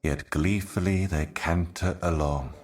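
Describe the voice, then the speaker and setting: Game role: ancient eternal envoy of darkness, bottomless low voice, slow, solemn, portentous delivery. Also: male voice, steady tone. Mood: grave, ominous. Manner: grave, brooding, measured, portentous. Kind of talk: scripted game monologue, cryptic poetic narration.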